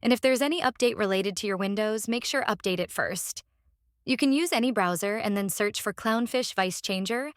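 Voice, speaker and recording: A woman who speaks English as a second language hosts a step-by-step software tutorial in a halting, repetitive instructional way. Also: mid-range voice, no echo, studio quality. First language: non-native English speaker. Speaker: tutorial host.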